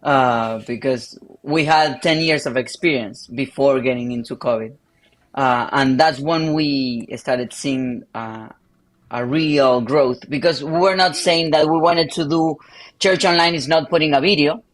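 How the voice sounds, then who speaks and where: A Spanish-speaking pastor from Argentina with an Argentine accent, speaking English in a panel conversation, halting with many fillers. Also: male voice, mid-range voice. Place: livestream call.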